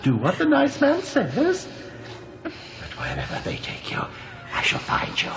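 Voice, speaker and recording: Slow, menacing, swooping madman. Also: very high-pitched, huge pitch swings, a bit bright, full character voice. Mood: menacing, possessive. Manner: theatrical, taunting, insinuating. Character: mad, possessive husband, menacing and gloating. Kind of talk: radio drama acting.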